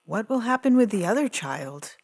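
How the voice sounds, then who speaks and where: A woman's voice: medium pitch, big pitch swings, normal speed, creaky, darkish tone. A woman playing a hesitant adoptive mother in an audio story, in a long hollow reverb. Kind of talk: dramatized short story reading.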